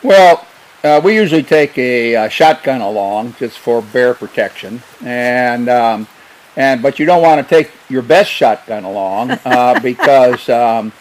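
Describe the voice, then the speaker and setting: An older man, a former wildlife biologist, telling stories in an unhurried, hesitant way with many fillers. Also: medium pitch, animated, low-quality recording. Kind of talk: casual storytelling.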